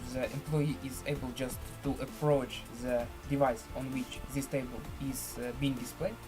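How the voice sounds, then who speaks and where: A man presenting a screen-share software tutorial in halting, deliberate phrases with formal, elaborate wording. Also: mid-range voice, little pitch movement, over music.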